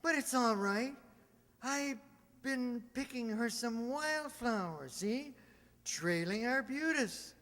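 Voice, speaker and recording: An actor playing a mild, henpecked small-town druggist in an old-time radio comedy, with a hesitant, deadpan, folksy delivery. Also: male voice, very high voice, slow, heavily acted. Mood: dry, mild. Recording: reverberant hall, stage re-enactment.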